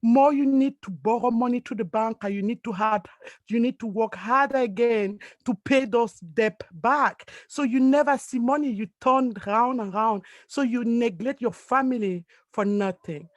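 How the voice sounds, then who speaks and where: A woman's voice: on the high side, normal speed, no echo, highs cut off. A woman from Cameroon giving an emphatic, earnest motivational speech over a video call as a network marketing leader. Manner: earnest, emphatic, non-native phrasing.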